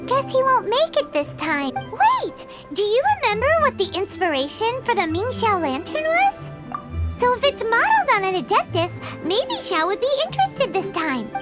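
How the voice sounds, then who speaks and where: A woman voicing a small, perky, childlike fairy companion in a video game, squeaky and dramatic. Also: very animated, moderate pace. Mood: chatty. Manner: speaks of self in third person, dramatic. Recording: loud game music behind.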